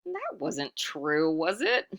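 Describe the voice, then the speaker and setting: A woman reading a storybook aloud in expressive narration, animated and putting questions to the listener. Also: low voice, slow, creaky. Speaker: storybook reader.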